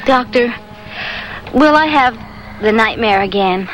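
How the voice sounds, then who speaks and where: An earnest, vulnerable wife in an old radio drama, speaking in a fairly high voice with emotional, hesitant phrasing. The vintage broadcast has a faint hiss. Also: female voice, expressive, slow, a bit bright, poor audio. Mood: anxious. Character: anxious married woman in therapy, earnest and vulnerable.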